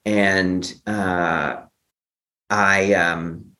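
A man's voice: low-pitched, fairly even intonation, drawn-out words, pushed and bright. A man telling a personal story as an interview guest, speaking candidly and with a theatrical streak. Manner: candid, theatrical, acts out quoted remarks. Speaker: interview guest, memoir author.

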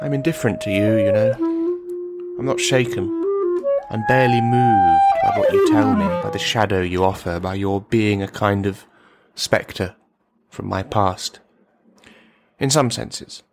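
A man's voice: lowish voice, a little slow, loud music behind the voice. A man performing a radio play monologue as a bitter, brittle, menacing army veteran, rambling and brooding as he addresses a silent listener. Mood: cold, probing.

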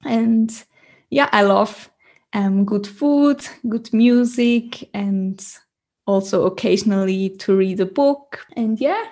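Slow-talking woman, halting. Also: medium pitch, dark tone. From Switzerland. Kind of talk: casual interview talk in a second language.

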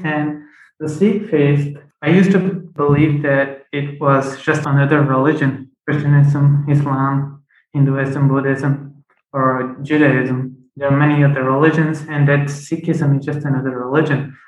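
A young man whose first language is Punjabi, a podcast guest, explaining his views in a thoughtful, measured way with frequent fillers. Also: a little high, roomy sound, rough recording. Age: young adult. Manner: thoughtful, measured, uses many fillers like "you know". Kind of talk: reflective conversational explanation.

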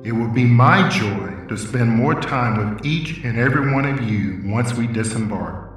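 A man voicing a calm male airline captain who gives a gentle, reassuring cabin announcement in a radio drama. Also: medium pitch, moderate pace, heavy reverb, far from the mic, faint music behind, close to an everyday voice. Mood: welcoming.

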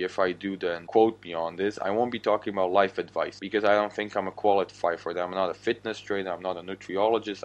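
Young slovak guy with a deep voice, talks blunt and swears a lot on his podcast. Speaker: podcast host. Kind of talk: casual podcast monologue.

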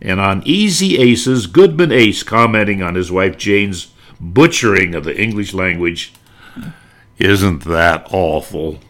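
A man's voice: on the low side, animated, unhurried, fairly bright tone. An older man presenting a nostalgic talk, reminiscing with theatrical emphasis. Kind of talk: nostalgic narrated talk on old radio.

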